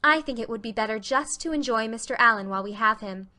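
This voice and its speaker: A woman's voice: on the high side, fast, bright, forceful tone. A woman voicing an earnest, talkative, imaginative teenage girl with effusive, dramatic delivery in a reading of a classic novel. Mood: exuberant.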